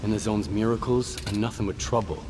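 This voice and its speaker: Guy voicing a stubborn reserved game soldier, lowish and terse, noisy background. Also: steady tone, a little fast, close to an everyday voice. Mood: guarded, firm. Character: guarded, stubborn male soldier, independent-minded. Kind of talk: dramatic video game cutscene acting.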